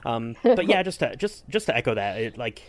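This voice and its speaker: A man co-hosting a podcast in casual chat, speaking haltingly and often repeating words and phrases as he goes. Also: mid-range voice, very animated, no echo. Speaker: podcast co-host.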